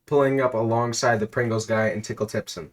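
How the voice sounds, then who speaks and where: A man reading a silly, absurd story aloud in a deadpan narrating voice, now and then cracking up. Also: medium pitch, a little fast. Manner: deadpan narration, breaking into laughter.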